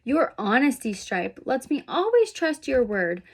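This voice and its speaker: A woman voicing a loving mother zebra in a picture book, gentle, reassuring and encouraging. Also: high voice, sing-song, a little slow, breathy edge, full character voice.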